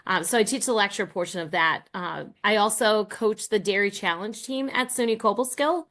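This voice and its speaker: A woman's voice: mid-range voice, moderate pace, fairly bright tone. A middle-aged American woman, a professor appearing as a guest, explaining her work conversationally. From Rhode Island and Massachusetts, United States. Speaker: guest, college professor and team coach.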